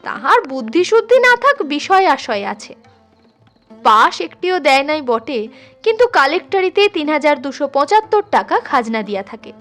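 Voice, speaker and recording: A woman reading a classic short story as a storyteller, in an expressive, measured and dramatic scripted narration. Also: sits high. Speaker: storyteller reading literary fiction.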